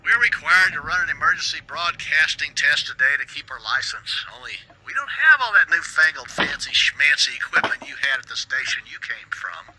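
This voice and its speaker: A man's voice: fairly high pitch, very animated, normal speed, hardly any pauses, sharp tone, exaggerated voice, poor audio. An actor playing a sarcastic, condescending radio station boss in a video game, belittling as he rambles through instructions.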